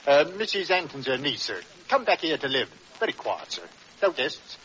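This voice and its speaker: A bright, fairly high male voice plays a brisk, deferential constable in a vintage radio drama. He is gossipy yet respectful, bouncing in pitch on a muffled old recording. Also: sing-song, slight background noise. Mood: eager, respectful. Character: deferential, chatty young bachelor police constable on duty.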